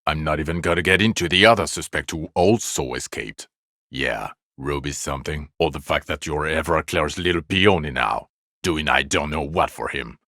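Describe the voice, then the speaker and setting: An actor playing a bitter, exasperated police detective in a video game, sardonic and accusatory, scolding a drunk partner. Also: male voice, a bass voice, moderate pace, pushed and bright, no room sound, clean studio sound, full character voice. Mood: bitter, sarcastic. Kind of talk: dramatic game dialogue, scolding.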